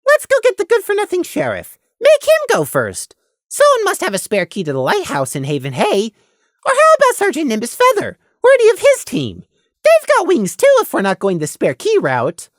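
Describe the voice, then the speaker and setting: A woman's voice: high voice, wildly swooping pitch, moderate pace, no room sound, studio quality, pitched above the performer's own voice. A woman voicing a small male hoofed creature, a self-serving worrier with morbid wit who argues and pleads in an audiobook. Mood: weakly pleading. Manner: wry, pleading, argumentative. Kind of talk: fan fiction audiobook character voice.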